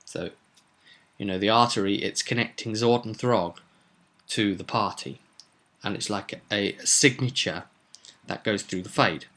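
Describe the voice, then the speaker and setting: A man's voice: on the low side, unhurried, pauses often, fairly bright tone. A man giving an informal spoken recap as game master of a tabletop roleplay, explaining events with emphasis like a storyteller.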